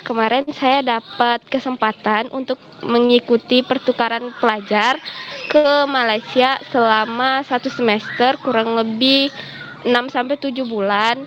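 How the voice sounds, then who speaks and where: A woman's voice: on the high side, highs cut off, background noise. A woman, answering as a student guest in a chatty, explanatory way. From Jambi, Indonesia. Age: early 20s. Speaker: student guest sharing exchange experience. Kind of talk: casual informative interview answers.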